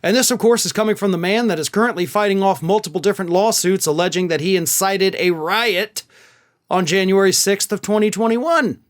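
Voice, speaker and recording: Male political commentator, high-pitched voice, emphatic and sarcastic, opinionated host delivery. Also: fairly quick. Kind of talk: opinionated news commentary to camera.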